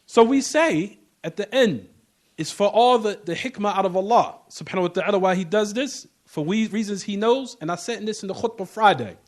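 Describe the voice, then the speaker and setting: A man giving an informal Islamic lecture, emphatic and repetitive, often checking in with rhetorical questions. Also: high voice. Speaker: religious teacher giving a class.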